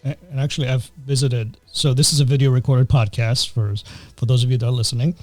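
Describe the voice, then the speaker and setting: A middle-aged man from Greece hosting a podcast in casual chat, often pausing with uh and um. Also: mid-range voice, fairly even intonation, darkish tone, no echo, faint music behind. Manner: hesitant, many uhs and ums. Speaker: podcast host.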